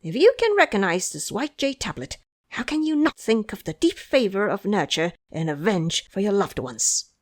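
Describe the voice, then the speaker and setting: Woman acting a brash monkey disciple, quick-witted, persuasive, very animated pitch, audio drama. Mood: earnest, animated.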